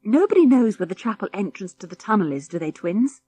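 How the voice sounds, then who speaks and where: A woman's voice: medium pitch, very animated, brisk, mellow, slightly rough, dry recording. A British woman voicing an earnest, responsible schoolboy who leads a gang of children, grave, anxious and well-spoken, in a storybook reading.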